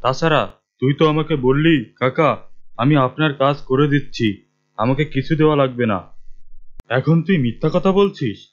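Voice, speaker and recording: An actor playing a sly, stingy village uncle in a cartoon story, talking in a bossy way. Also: male voice, medium pitch, normal speed, pauses often, lightly acted. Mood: dismissive, scolding. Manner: bossy, scolding. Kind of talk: animated story dialogue. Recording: dull lo-fi audio.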